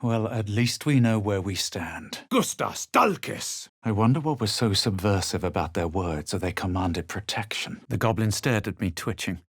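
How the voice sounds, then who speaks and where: An actor playing a haughty, self-assured young adventurer in a fantasy video game, speaking in a measured, literary manner. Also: male voice, lowish voice, no echo, studio quality. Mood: forceful incanting. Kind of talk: video game character narration and barks.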